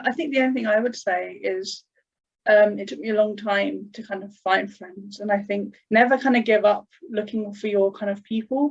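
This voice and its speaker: Woman panel guest, gravelly voice, dull lo-fi call sound, thoughtful. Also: medium pitch, a little mellow. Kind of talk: casual panel talk.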